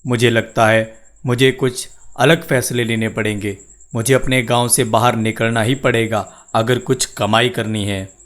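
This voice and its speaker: A man acts out a poor village labourer in a cartoon story. He is an earnest, plain-spoken husband worn by work. His pitch is ordinary and the delivery stays close to everyday speech. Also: little pitch movement, unhurried, fairly bright tone, lightly acted. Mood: weary, troubled. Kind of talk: Hindi cartoon story dialogue.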